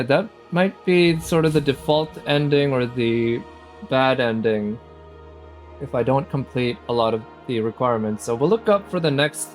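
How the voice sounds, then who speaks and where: Male game streamer, casual and reflective, unhurried delivery over light game music. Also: medium pitch. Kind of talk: casual live gameplay commentary.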